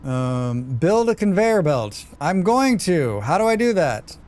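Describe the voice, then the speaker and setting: A man's voice: a little high, sing-song, slow pace, darkish tone. Scratchy-voiced man thinking aloud. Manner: thinking aloud, muttering while playing. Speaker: streamer playing a demo. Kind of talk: live gameplay commentary.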